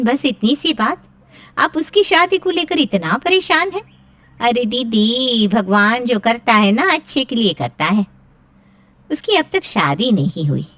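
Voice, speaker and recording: A woman's voice: mid-range voice, fairly bright tone, distant mic. A woman playing a reassuring, persuasive middle-aged aunt in story dialogue, emphatic and coaxing. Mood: concerned, reassuring.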